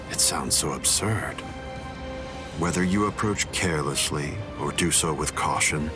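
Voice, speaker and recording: A man voicing a calm, arrogant villain in an anime game dub, composed and condescending. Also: low voice, close to an everyday voice. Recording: music behind the voice.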